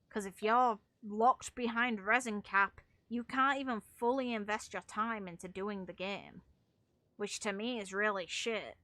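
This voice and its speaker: A woman's voice: medium pitch, bright, forceful tone, no echo. A woman streamer giving casual, opinionated gaming commentary in a blunt, emphatic, sweary way. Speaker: game streamer and video creator.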